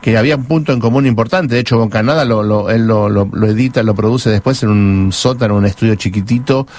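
A man's voice: on the low side, fast, darkish tone. A man hosting a conversational radio interview, leading in and out of segments.

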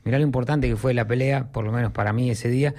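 A man's voice: medium pitch, fairly even intonation, fast, a little mellow. A middle-aged Argentine man hosting a sports radio show in a rambling, conversational way.

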